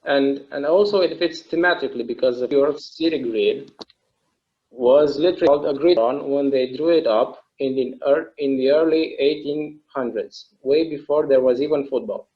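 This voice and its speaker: A man's voice: mid-range voice, moderate pace, lightly acted. A man acts out an easygoing, inventive husband in a stage play read. His mid-range voice is conversational and musing. The recording is a little dull and lo-fi. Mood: musing, eager. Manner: casual, musing, agreeable. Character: easygoing, imaginative husband who enjoys inventing games.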